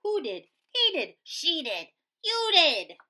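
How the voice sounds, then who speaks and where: A woman voicing excitable, squabbling little prairie dogs from a storybook in short exclamations. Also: extremely high pitch, slow, voice pushed up, exaggerated voice. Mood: confused, accusing.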